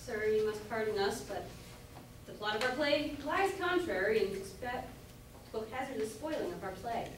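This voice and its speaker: A woman's voice: fairly high pitch, expressive, normal speed, natural voice. A woman playing an apologetic, anxious boy actor in an amateur staged reading of a Jacobean comedy. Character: apologetic, anxious boy player, male child. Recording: distant echoey room, background hiss.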